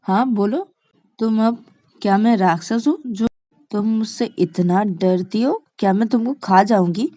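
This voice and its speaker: A woman's voice: medium pitch, a little slow, dark tone, dry recording, close to an everyday voice. A woman voicing an amused, confident young woman in an audio story, teasing and mock-indignant in her questions.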